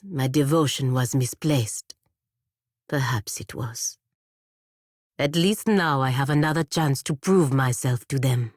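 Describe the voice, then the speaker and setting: An actress playing a proud, duty-bound godlike knight in a video game, speaking formally and gravely. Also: female voice, low-pitched, dry recording, clean studio sound. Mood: firm, dutiful.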